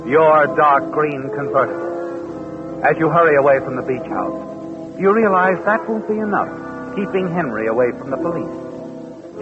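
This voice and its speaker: A man's voice: on the high side, lightly acted. Man acting an ominous, taunting radio mystery narrator. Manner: knowing, taunting, deliberate. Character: ominous omniscient male narrator addressing the culprit. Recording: dull vintage radio recording. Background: music.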